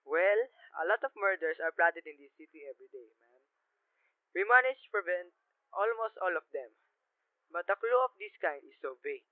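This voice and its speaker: Teen boy acting as dismissive desk cop, radio play, high male voice, condescending, placating, narrow lo-fi sound. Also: expressive, moderate pace, no room sound. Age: teens, ninth-grade student. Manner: placating, condescending, brushing off worries. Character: unhurried, dismissive male police desk sergeant.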